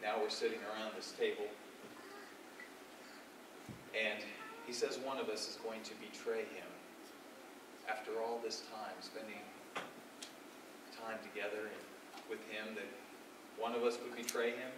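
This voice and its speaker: Heard from far off through clear noise, this is an earnest, humbled fisherman apostle played by a man on stage. His low voice delivers a dramatic monologue haltingly, pausing to reflect and then leaning hard on his words. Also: little pitch movement, close to an everyday voice. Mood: troubled, humbled. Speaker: stage actor. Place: dark theatre stage, recorded from the audience. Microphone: far camera. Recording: distant, echoey, noisy stage recording.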